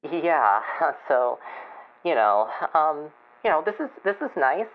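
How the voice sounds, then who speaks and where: Woman playing a low-voiced, hesitant lonely farmer. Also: big pitch swings, heavily acted. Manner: hesitant, halting, nervous chuckles. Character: lonely, gentle woman chicken farmer phoning late-night radio. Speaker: caller. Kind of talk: improvised radio call-in. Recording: muffled lo-fi radio call.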